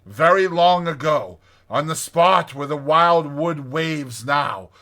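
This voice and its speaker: A man's voice: on the high side, animated, takes it slowly, fairly bright tone, heavily acted. A man voicing a kindly, opinionated badger in a dramatized storybook reading, with an emphatic, declaiming manner. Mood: explanatory. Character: hearty, kindly, opinionated badger, proud of his burrow.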